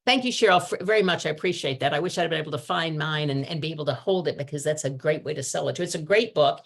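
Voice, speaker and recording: A woman's voice: medium pitch, vocal fry. A woman hosting an online meeting, introducing a guest speaker in a chatty, enthusiastic way. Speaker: host. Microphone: video call.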